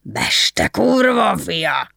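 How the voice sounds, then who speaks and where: A woman's voice: fairly low pitch, expressive, gravelly, no echo, studio quality. A woman voicing a drunken, mocking middle-aged nobleman who bellows and slurs his words. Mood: drunk raging. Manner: slurred, bellowing, sneering.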